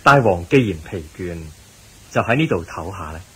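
Serene holy teacher in radio drama, low and very breathy-rough, kindly, unhurried advice. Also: male voice, animated, heavily acted, low-quality recording. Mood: calm advising. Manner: measured, gentle. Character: calm, kindly Buddha, gently advising. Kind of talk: Cantonese radio drama acting.

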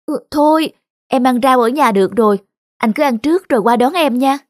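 A woman voicing an insecure, self-critical young bride-to-be who frets about her weight, in animated cartoon dialogue. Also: high-pitched, brisk, no room sound, studio quality, close to an everyday voice. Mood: evasive. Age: young adult. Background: music.

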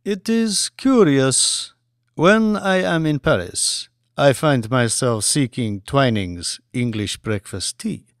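A man voicing a powerful, courteous Saudi sheikh in an audiobook, speaking formally and deliberately with a subtle menace. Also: mid-range voice, animated, no echo, studio quality. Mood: probing, formal.

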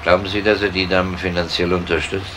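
An actor playing a sober, persistent police detective in a radio crime drama, questioning deliberately. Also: male voice, sits low, level tone, background noise, close to an everyday voice. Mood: probing. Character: sober, persistent male police detective investigating a missing person.

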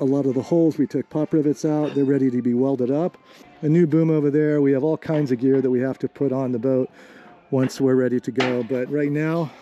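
A man's voice: mid-range voice, dark tone, highs cut off. Easygoing man vlogging outdoors. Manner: easygoing, rambling, with many fillers and restarts. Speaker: vlogger rebuilding a trimaran. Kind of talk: casual walk-and-talk vlog. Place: outdoor boat yard in the sun. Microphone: action camera held at arm's length.

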